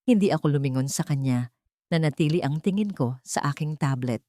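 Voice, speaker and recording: A woman with a Filipino accent reading a scripted first-person dramatic story in a deliberate storytelling manner. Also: low voice, animated, warm tone, no room sound, clean studio sound. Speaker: narrator of an audio love-and-revenge drama.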